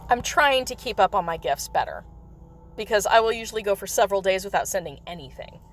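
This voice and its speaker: A woman chats loosely to her viewers during a game livestream, wandering from topic to topic. Her voice sits at a middling pitch and has turned slightly raspy from coughing. She laughs easily between thoughts. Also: lively intonation, quick pace, slight background noise. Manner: rambling, chatty, with frequent laughs. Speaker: livestream host. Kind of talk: casual chat while playing a mobile game.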